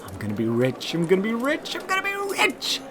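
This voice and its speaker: A man voicing an excitable, greedy young treasure hunter who mutters obsessively to himself in a fantasy audio drama. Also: high-pitched, fairly quick, heavy background noise, voice pushed up. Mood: greedy, excited. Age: young adult.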